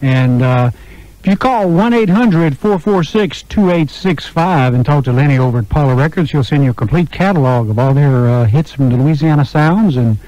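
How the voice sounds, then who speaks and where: A man's voice: fairly high pitch, moderate pace, dark tone, no room sound. A man hosting an oldies radio show with upbeat, chatty, informal announcer patter into a studio microphone. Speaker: radio DJ and interviewer. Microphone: close broadcast microphone. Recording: dull, lo-fi radio aircheck tape.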